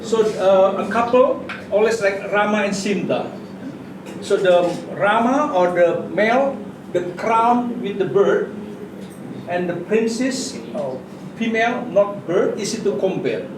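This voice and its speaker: High-voiced Indonesian-accented man in an echoey room. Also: expressive. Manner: halting non-native English in short simple phrases. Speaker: puppet workshop guide and demonstrator. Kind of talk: informal guided craft demonstration to visitors. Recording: echoey room, distant mic, clear background noise.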